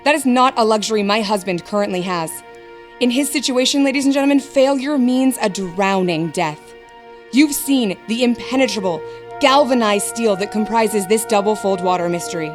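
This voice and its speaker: A woman's voice: medium pitch, normal speed, faint music behind, close to an everyday voice. A woman playing a magician's wife who announces his stage act in a formal, dramatic manner for an audio drama. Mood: dramatic, ominous. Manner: formal, dramatic address to an audience.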